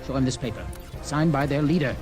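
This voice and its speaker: A man's voice: mid-range voice, quick pace, close to an everyday voice. An actor playing an earnest young heretic crewman in old-time radio drama, urgent and defiant, with clipped dramatic delivery. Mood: urgent, pleading. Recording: old radio broadcast with music over it.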